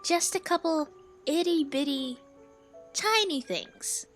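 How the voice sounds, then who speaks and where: Female gamer, high voice, slow halting pace, joking, emphatic, casual reactions, faint game music. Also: animated. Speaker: gaming video host. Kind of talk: casual, reactive let's play commentary.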